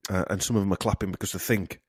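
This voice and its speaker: A man with a husky, slightly breathy voice hosts a casual podcast conversation and speaks emphatically, sure of his opinions. The recording is dry and close-miked, with no room sound at all. His pitch sits a little low. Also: fairly even intonation, racing, no room sound. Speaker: podcast host. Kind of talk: casual conspiracy chat.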